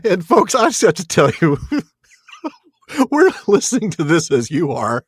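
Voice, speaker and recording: Higher-voiced man, very animated podcast banter. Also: unhurried, breathy edge, no room sound, clean studio sound. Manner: jokey, giggly. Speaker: podcast co-host. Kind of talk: casual chat and banter.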